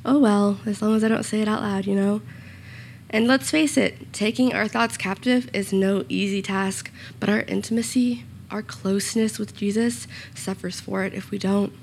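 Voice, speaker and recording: A young woman giving a short church sermon with a slightly raspy voice, earnest and personal, with small self-conscious asides. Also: medium pitch, steady tone, moderate pace, a little hiss or hum. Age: young adult. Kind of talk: sermon with scripture reading and prayer. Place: church room.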